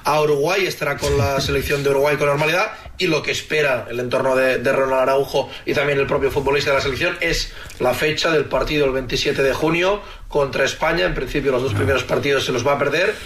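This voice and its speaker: A man's voice: medium pitch, steady tone, quick pace, a bit bright. A man giving a live sports update as a radio reporter. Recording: echoey room with faint noise.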